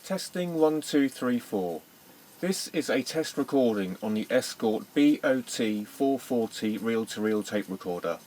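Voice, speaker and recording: A man's voice: mid-range voice, animated, measured pace, a little mellow, low-quality recording. A man reading a matter-of-fact scripted test narration, recorded on a small reel-to-reel tape recorder.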